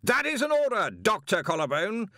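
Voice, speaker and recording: A man voicing a blustering, hot-tempered older male wizard who heads a college, loud and imperious. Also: high-pitched, very animated, normal speed, no room sound, studio quality, pitched above the performer's own voice, heavily acted. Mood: roaring. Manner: roaring, imperious.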